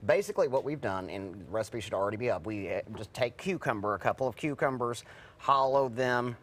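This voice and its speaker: A man's voice: medium pitch, expressive, fairly quick, a bit bright, slight background noise. A middle-aged man, a guest chef on a TV studio kitchen set, chatting easily as he explains a recipe into a lapel mic. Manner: easygoing, chatty, explaining as he preps food. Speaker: cooking segment guest chef. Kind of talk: live TV cooking demo.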